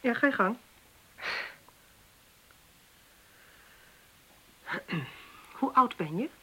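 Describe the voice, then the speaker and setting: A woman's voice: a little high, animated, measured pace, bright, forceful tone, breathy edge, heavily acted. A woman playing a world-weary, ironic and brusque police inspector with cultured airs in a Dutch radio play. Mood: probing.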